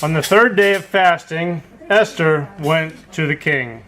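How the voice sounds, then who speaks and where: A man's voice: on the high side, a little slow, a bit bright, low-quality recording. A man narrating a puppet show from a script in storytelling style. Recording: faint clattering background noise.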